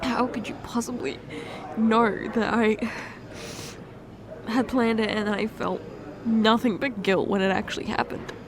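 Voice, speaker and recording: Tearful halting young woman, roleplay monologue. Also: on the high side, fairly even intonation, a crowd in the background. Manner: halting, tearful confession with many restarts and sighs. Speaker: audio roleplay performer. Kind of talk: emotional ASMR fiancée roleplay monologue.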